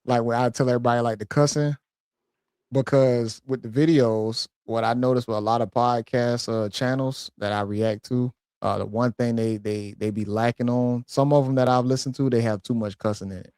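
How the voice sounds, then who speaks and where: A man's voice: mid-range voice, fairly even intonation, normal speed, a little mellow, clear, resonant tone, dry recording. Male guest on a live chat, dry close sound, rambling and agreeable. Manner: rambling, restarts and fillers, agreeable "yeah". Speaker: co-host guest. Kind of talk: casual chat.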